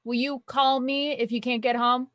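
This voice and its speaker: A woman's voice: high voice, little pitch movement, moderate pace, fairly bright tone, no room sound. An actress playing an anxious young woman student, a hesitant but sarcastic younger sister. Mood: uneasy. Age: young adult.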